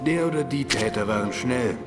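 A man's voice: medium pitch, expressive, moderate pace, far from the mic, over loud music, natural voice. An actor playing a laid-back male police officer with dry gallows humor in a radio drama. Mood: explaining. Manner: dry, joking, matter-of-fact. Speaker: police officer at a crime scene. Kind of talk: German radio drama acting.

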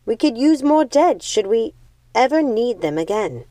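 A woman reads as a young witch sister from a storybook. She is coolly resolute and pragmatic, reassuring in a measured way. The sound is dry and close. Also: on the high side, sing-song, dark tone, dry recording, pitched above the performer's own voice. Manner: measured, reassuring, matter-of-fact.

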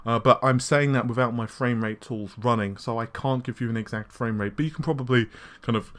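A man hosting a casual tech news show, his voice slightly scratchy and worn, talking in a chatty way with frequent fillers and quick asides. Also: medium pitch, fairly bright tone. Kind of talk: casual solo tech commentary. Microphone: laptop recording setup.

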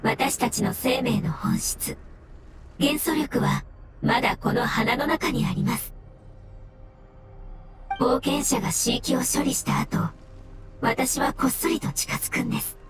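A woman voicing a gentle, melancholy floating mushroom spirit in an anime game, with polite, solemn delivery. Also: sits high, a little slow, pauses often, over loud music. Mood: gentle, hopeful. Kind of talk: Japanese anime game voice acting.

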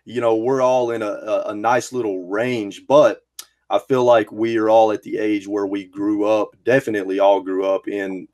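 A man's voice: lowish voice, level tone, normal speed, a little mellow. Earnest thirty-year-old man hosting. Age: 30s. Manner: earnest, emphatic. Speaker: host. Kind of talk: casual discussion. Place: game room full of collectibles. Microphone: headset with desk mic.

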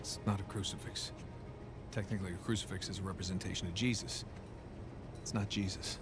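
A scrappy young American adventurer from a video game. His voice is on the low side, casual and wry, with natural hesitations. Music plays clearly behind him. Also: steady tone, brisk. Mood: focused.

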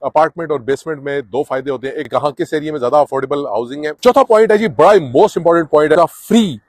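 A man's voice: on the high side, quick pace, a little mellow, dry recording. Middle-aged man giving earnest outdoor advice. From Pakistan or India. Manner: earnest, advising, with many filler "uh"s. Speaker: immigration advice vlogger. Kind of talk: casual explanatory vlog to camera. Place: outdoors on a sunny residential street.

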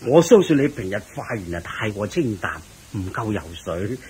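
A fat, hearty king who lives to indulge. He speaks with a Hong Kong Cantonese accent and swoops about expressively in radio-drama style, over clear background noise. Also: lowish voice, very animated, normal speed, darkish tone, poor audio. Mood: hospitable. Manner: exclaiming, chuckling, expansive.

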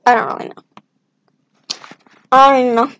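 Woman, slow-paced casual self-talk, rambling asides, voice on the higher side. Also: flat delivery, fairly bright tone. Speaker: map-making video creator. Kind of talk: casual commentary while drawing.

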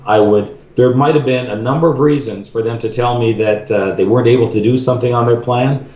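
A man's voice: medium pitch, brisk, a little mellow, slight background noise. A middle-aged man talking earnestly as a guest on a video call, thoughtful and restarting his sentences often. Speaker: ministry leader, podcast guest. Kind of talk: casual interview conversation. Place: home room. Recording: roomy video call audio.

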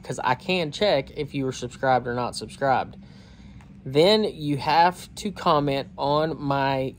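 A man's voice: a little high, a little hiss or hum. Chatty man vlogging outdoors. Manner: talkative, informal, uses y'all. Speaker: fishing channel host. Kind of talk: casual direct-to-camera vlog. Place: outdoor porch of a wooden cabin. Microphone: phone camera at arm's length.

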